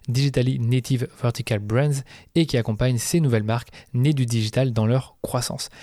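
A man's voice: mid-range voice, mellow, no echo, clean studio sound. A man hosting a marketing podcast, reading a polished introduction and then chatting casually with his guest. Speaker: podcast host.

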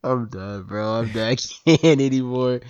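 A man's voice: mid-range voice, measured pace, darkish tone, dull audio. A man co-hosting a casual podcast, joking and rambling with lots of fillers. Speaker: podcast co-host.

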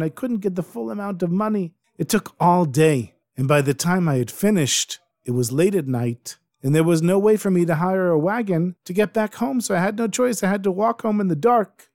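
A man's voice: high-pitched, a little mellow, dry recording, clean studio sound, pitched above the performer's own voice. A man doing a groaning poor innkeeper. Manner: moaning, pained cries.

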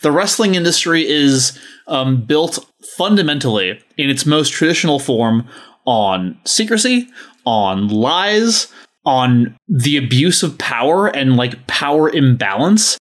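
A man hosting a podcast, talking to listeners in a frank, emphatic way with some swearing. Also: on the high side. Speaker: podcast host. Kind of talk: podcast talk, addressing listeners.

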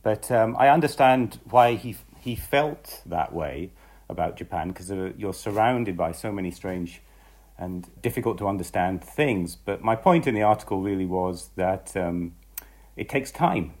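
Husky, low-voiced male writer. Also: expressive, a little slow, a little mellow. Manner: reflective, amused, hesitant with ums. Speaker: magazine writer, guest. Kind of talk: conversational interview answers.

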